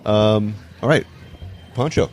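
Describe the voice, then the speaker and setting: A man's voice: lowish voice, slow pace, a little hiss or hum. A man hosting a round-table talk, steering the guests with playful, joking interjections. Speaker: host. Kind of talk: casual round-table chat.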